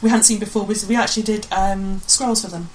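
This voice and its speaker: A woman's voice: mid-range voice, steady tone, brisk, a loud crowd. A woman guest chatting casually in a radio interview, often adding tag questions. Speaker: guest, amateur pantomime director.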